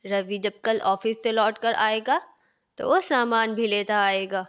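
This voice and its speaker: A woman's voice: mid-range voice, unhurried, very noisy, natural voice. A woman voicing an older mother-in-law in a Hindi cartoon story, with a motherly, reasoning manner. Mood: brisk, instructing.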